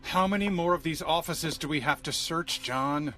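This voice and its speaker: A man's voice: on the high side, normal speed, sharp tone. A man playing a chatty, droll, grumbling sidekick in a radio drama. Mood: wry, chatty.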